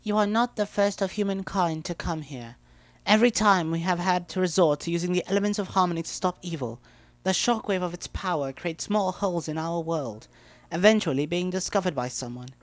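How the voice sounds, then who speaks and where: Man putting on a royal female pony, lifted pitch, measured formal manner, dry close sound, storybook ruler. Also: no room sound, lightly acted. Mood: calm explaining. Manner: calm, formal. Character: regal, composed adult female pony princess. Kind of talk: dramatic fan-fiction reading.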